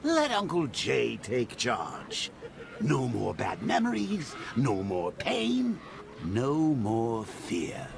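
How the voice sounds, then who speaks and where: An actor playing a gleeful, mocking phantom villain in a video game, with a theatrical, taunting drawl and a gravelly voice. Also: male voice, medium pitch, huge pitch swings, drawn-out words, crowd noise, full character voice. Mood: coaxing. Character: gleeful mocking male phantom villain, madman in hero's head. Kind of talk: video game cutscene acting.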